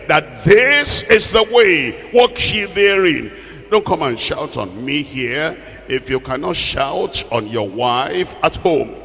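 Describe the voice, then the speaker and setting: A man's voice: high voice, gravelly, fairly bright tone. A man preaching a sermon as a Bible teacher, exhorting and repeating phrases for emphasis. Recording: long hall reverb.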